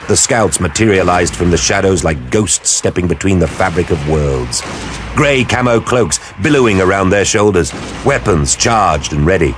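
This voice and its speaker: A man performing dramatic scripted narration of a science fiction war story, grave, theatrical and deliberate in his delivery. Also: deep voice, noisy background. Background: dramatic music with battle sound effects.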